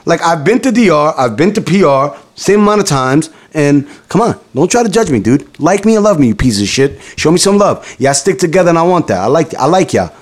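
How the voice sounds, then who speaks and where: A man hosting a podcast, talking crude and teasing, getting loud and excited. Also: fairly quick, hardly any pauses. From New York. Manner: loud, profane, teasing, jumps in pitch when excited. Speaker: podcast host. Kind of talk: crude casual banter.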